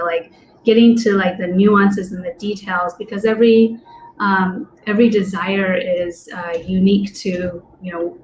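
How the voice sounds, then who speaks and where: Woman coach rambling w lots of likes and ums, mid pitch, faint noise in the background, video call. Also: low-quality recording. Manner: rambling, many fillers and restarts. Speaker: coach and blues dance teacher. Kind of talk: casual panel discussion.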